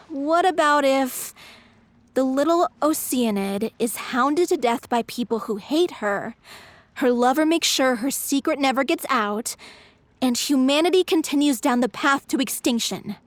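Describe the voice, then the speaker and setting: A woman's voice: high-pitched, expressive, measured pace, dry recording. A woman voicing a serious, grieving member of a theatre troupe. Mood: grim. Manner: serious, insistent. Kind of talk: game voice acting.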